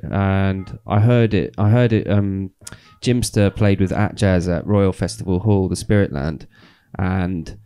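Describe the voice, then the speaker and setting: A man in his thirties co-hosting a radio show, chatting in a relaxed way about dance music in a small studio, talking into a handheld mic. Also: low-pitched, clean tone. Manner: relaxed, laughs often, rambling with restarts.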